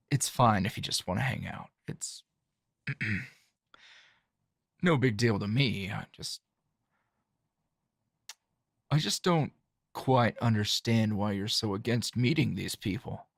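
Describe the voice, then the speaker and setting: Man acting calm male hero, slow pace, dry wit, teasing, halting low-key delivery, intimate ASMR roleplay, close dry sound. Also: vocal fry, slightly rough, no room sound, exaggerated voice. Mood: awkward, casual. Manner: low-key, halting, conversational with sighs and soft chuckles.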